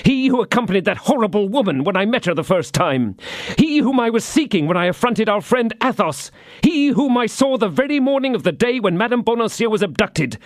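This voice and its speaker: Guy doing a fiery young swordsman, voice jumps up high and lively, storybook reading. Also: fairly quick, dry recording, studio quality. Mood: agitated. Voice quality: excited, urgent. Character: young hot-headed male swordsman, impulsive and eager.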